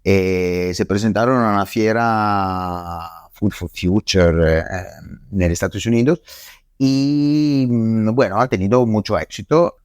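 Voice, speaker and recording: A man from Italy with a clear Italian accent, speaking in a lowish voice on a dry, close recording. He talks as a guest in a relaxed podcast chat, pausing often with fillers and restarting his sentences, yet keeps an eager tone. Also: dry recording. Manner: halting, many fillers and restarts. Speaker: guest scientist. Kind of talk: casual podcast interview.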